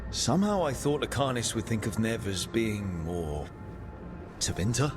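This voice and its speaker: An actor playing a loyal, resolute video game hero, speaking in an earnest, reassuring manner. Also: male voice, on the low side, sing-song, moderate pace, over music. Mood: determined. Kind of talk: video game character acting.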